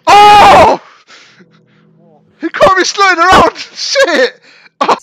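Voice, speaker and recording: A man hosting a virtual reality game vlog, chatting playfully and flirtatiously, breaking into loud excited laughter. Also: very high-pitched, slow pace, noisy background. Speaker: VR social game channel host. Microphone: VR headset mic.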